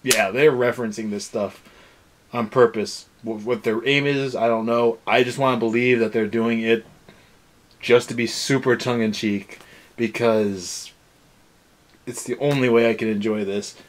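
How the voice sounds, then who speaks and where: A man's voice: mid-range voice, steady tone, measured pace, slight background noise. A young man co-hosting a casual review show, ranting in a sarcastic, exasperated way. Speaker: anime review show co-host.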